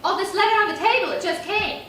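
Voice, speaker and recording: A woman playing a scheming, excitable, dramatic housewife in a 1950s radio comedy, recorded from afar in an echoey hall. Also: extremely high pitch, quick pace. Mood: teasing. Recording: echoey hall, distant mic, faint noise.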